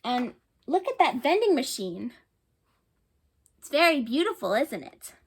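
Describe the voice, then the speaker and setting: A woman with a high, light voice reads a children's storybook aloud. She pauses to think aloud and to put questions to her young listeners. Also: big pitch swings, husky. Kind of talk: picture book read-aloud with asides to listeners.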